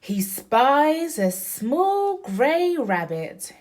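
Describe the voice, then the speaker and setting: A woman's voice: sits high, big pitch swings, drawn-out words, a little mellow. A woman reading a bedtime picture book aloud as a mother to her young child, with sing-song emphasis and chatty asides and questions about the pictures. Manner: child-directed, asking questions and pointing out pictures.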